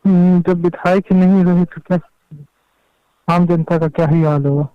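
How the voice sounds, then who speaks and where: High, clear male voice on a phone call. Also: steady tone, moderate pace, no room sound. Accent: Bihari. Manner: plain, step-by-step telling. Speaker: personal assistant to a politician, interviewee. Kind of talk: recounting events on a phone call. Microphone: telephone. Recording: dull, dry recorded phone call.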